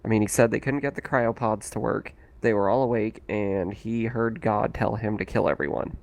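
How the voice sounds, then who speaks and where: A man's voice: on the low side, steady tone, normal speed, gravelly, darkish tone. A man playing in a tabletop roleplaying game, casually talking through what happened in the story.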